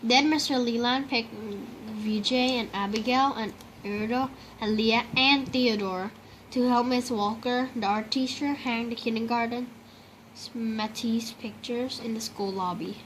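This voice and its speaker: A woman's voice: a little high, a little slow, rough recording. A woman reading a children's picture book aloud in a storytelling style. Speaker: storybook reader. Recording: clear steady background noise.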